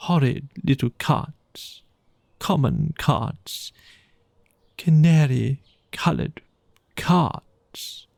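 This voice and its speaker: A man voicing a dreamy, boastful toad in a trance for a children's storybook, murmuring in drawn-out rapture. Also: medium pitch, expressive, gravelly, a little mellow, dry recording, heavily acted. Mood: dreamy, grandiose.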